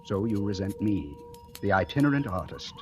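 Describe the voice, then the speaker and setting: An actor playing a proud, romantic wandering painter in 1940s radio drama style, speaking in measured, poetic, literate phrases. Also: male voice, fairly low pitch, lively intonation, darkish tone, close to an everyday voice. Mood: cool, needling. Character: proud romantic itinerant painter, thoughtful and defiant. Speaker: lead actor and narrator. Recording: old radio recording with music.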